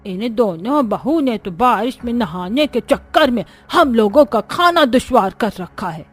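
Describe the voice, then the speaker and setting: A woman's voice: a little high, lively intonation, measured pace, breathy edge. A woman playing a scolding, exasperated middle-aged mother-in-law in a cartoon story. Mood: complaining. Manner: nagging, complaining. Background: background music.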